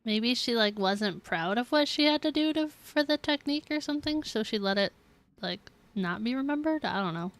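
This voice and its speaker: A woman's voice: fairly high pitch, animated, moderate pace. A woman livestreaming a video game, chatting casually and reacting as she goes. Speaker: game streamer. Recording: game music behind the voice.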